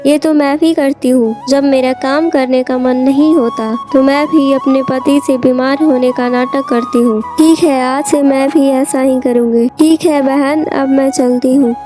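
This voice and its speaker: A woman's voice: high voice, fairly even intonation, unhurried, hardly any pauses, music in the background. A woman voicing a work-shy, scheming village housewife in a moral-story cartoon, with a dramatic, complaining manner. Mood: chatty, conspiring. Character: potter's work-shy, complaining, scheming wife and her friend.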